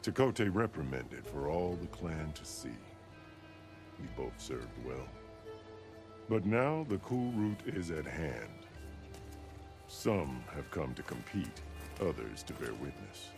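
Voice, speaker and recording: Really deep booming war chief, stern and formal, game music under it. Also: male voice, big pitch swings, takes it slowly, vocal fry, long pauses, heavily acted. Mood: solemn commanding. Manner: formal, solemn. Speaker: game character. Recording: game audio with music behind.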